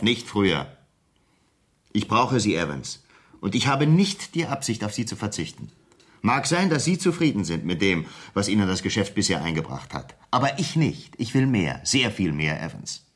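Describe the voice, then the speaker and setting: A man's voice: lowish voice, a bit bright. An actor playing a cold, greedy, imperious businessman in a 1970s German radio crime play. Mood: commanding. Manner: imperious, curt, commanding. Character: cold, greedy, domineering businessman running a drug scheme.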